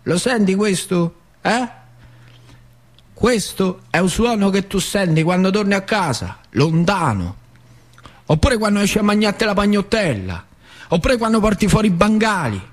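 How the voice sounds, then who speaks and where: An actor playing a fiery working-class uncle, a factory worker railing against the bosses in emphatic, repetitive dialect with a central Italian accent from northern Lazio. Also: male voice, sits high, big pitch swings, moderate pace, pitched above the performer's own voice. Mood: pained, fed up. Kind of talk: theatrical monologue in rustic dialect. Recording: music behind the voice.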